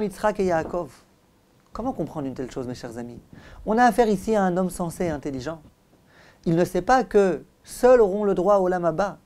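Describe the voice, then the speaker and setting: A man's voice: high-pitched, darkish tone. A man speaking as a rabbi giving a religious lesson, telling it dramatically and emphatically, with rhetorical questions. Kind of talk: religious lecture to listeners.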